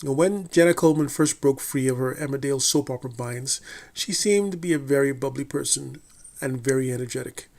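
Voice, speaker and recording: A man reading a scripted TV review in a measured, deliberate way, with the odd slip and restart. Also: medium pitch, gravelly, a little mellow. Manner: measured, deliberate delivery with occasional slips and restarts. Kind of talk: scripted review read aloud.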